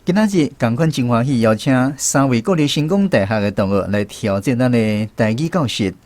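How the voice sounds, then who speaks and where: A man's voice: mid-range voice, lively intonation, brisk, darkish tone, no room sound. Taiwanese radio host, man, dry close sound. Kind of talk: scripted radio segment presenting.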